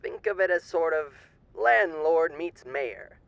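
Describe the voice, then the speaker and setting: A man's voice: a little high, expressive, takes it slowly, creaky, fairly bright tone, no echo, exaggerated voice. A man playing a suave demon overlord with theatrical, old-fashioned genteel diction in an audio roleplay. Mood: smug, courteous. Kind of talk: ASMR character roleplay.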